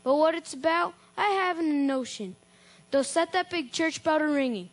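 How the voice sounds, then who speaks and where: A woman's voice: sits high, expressive, normal speed, voice pushed up. A woman voicing a grumpy, scheming old man who barks and sneers his rhymed lines in a storybook. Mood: grumbling. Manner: barking, sneering rhymed delivery. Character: grumpy, scheming old male church-hater.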